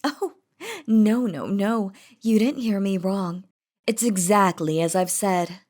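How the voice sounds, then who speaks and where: A woman's voice: mid-range voice, darkish tone, close to an everyday voice. A woman voicing an imperious, possessive, lovestruck demon queen in a scripted roleplay audio drama, with a haughty, theatrical delivery. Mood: possessive, smug. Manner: haughty, theatrical, slow and deliberate.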